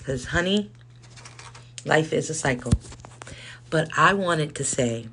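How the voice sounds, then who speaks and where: Hoarse woman podcaster from Los Angeles, rambling and chatty, faint heater hum behind her. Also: low-pitched, lively intonation, slow. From South Central Los Angeles. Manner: rambling, chatty, self-interrupting with laughs. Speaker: podcast host. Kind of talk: casual solo podcast monologue. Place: indoor room with space heater. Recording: faint background whirring noise.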